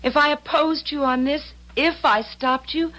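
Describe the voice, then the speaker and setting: A woman's voice: high-pitched, measured pace, sharp tone, low-quality recording. An actress playing a feisty, blunt young American woman in an old-time radio drama, wry and determined. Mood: firm, reproachful.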